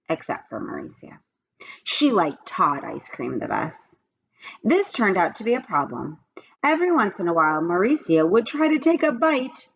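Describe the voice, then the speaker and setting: A woman reading a storybook aloud to children as a teacher, with expressive, simple phrasing. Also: medium pitch, lo-fi sound.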